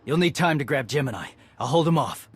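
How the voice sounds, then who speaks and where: An actor playing a proud, honorable male warrior in a video game, gruff and husky. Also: mid-range voice, expressive, a little fast. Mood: resolute.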